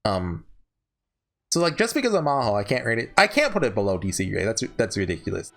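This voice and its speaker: A man's voice: fairly low pitch, wildly swooping pitch, fairly quick, creaky, a bit bright. A man talking casually off the cuff as a YouTuber giving reviews, chatty, rambling and opinionated. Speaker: YouTuber host ranking visual novels.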